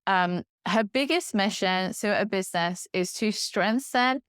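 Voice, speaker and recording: A woman's voice: medium pitch, a little slow, a bit bright, clean tone, no room sound, clean studio sound. A woman hosting an interview, friendly and encouraging toward her guest. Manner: friendly, encouraging, laughs along. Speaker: podcast host.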